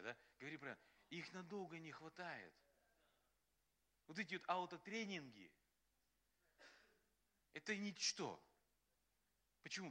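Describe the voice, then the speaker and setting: Animated guy pastor preaching, bright tense voice, keeps laughing. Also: on the high side. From Russia. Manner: animated, acts out quotes, laughs often. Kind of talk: sermon.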